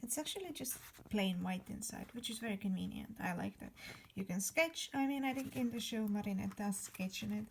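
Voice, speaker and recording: A woman's voice: mid-range voice, brisk, creaky, slight background noise. A young woman from Estonia, chatting enthusiastically in a casual vlog voice-over. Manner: chatty, enthusiastic, repeats "really". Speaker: vlogger.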